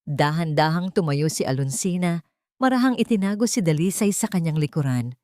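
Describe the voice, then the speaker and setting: A woman's voice: low voice, expressive, fairly quick, mellow, dry recording, clean studio sound. A woman reading a scripted story as a dramatic narrator.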